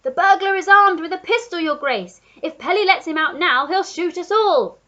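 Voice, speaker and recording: Woman voicing a cheering storybook giraffe, high and animated, muffled sound. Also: moderate pace, full character voice. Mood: urgent. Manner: shouting, cheering. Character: encouraging excited female giraffe.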